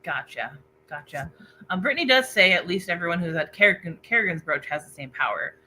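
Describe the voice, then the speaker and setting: Bright-voiced woman hosting a casual book chat. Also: lowish voice, animated, a little fast, a little hiss or hum, low-quality recording. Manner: informal, reads out viewer comments. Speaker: book club host. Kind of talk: casual livestream book discussion. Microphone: internet video call.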